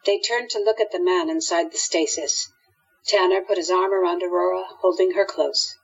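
A woman's voice: fairly low pitch, steady tone, moderate pace, a little hiss or hum. A woman narrating a scripted radio drama as storyteller, on dull lo-fi audio.

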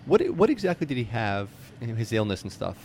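A man with an American accent hosts a chatty interview at a quick clip. His delivery is informal and plain-spoken, and there is faint noise behind his voice. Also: fairly low pitch, very animated, darkish tone. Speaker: interviewer, show host.

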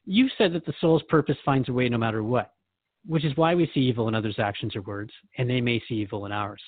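A man's voice: mid-range voice, quick pace, dry recording, narrow, tinny audio. A man hosting a conversational radio talk show, asking questions in an earnest, reflective manner. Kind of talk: conversational interview with a spirit guide.

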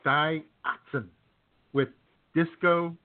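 A man's voice: on the high side, steady tone, takes it slowly, background noise. A man co-hosting a music radio show, introducing songs with casual, folksy, jokey banter. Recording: tinny phone-like sound.

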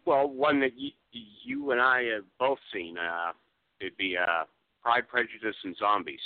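A low-pitched man talking over a dry, phone-like connection. He is an interview guest, speaking casually and plainly in a halting, matter-of-fact way. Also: big pitch swings, no echo. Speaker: guest, film special effects artist. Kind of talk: casual radio interview chat. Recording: dry, muffled phone-like line.

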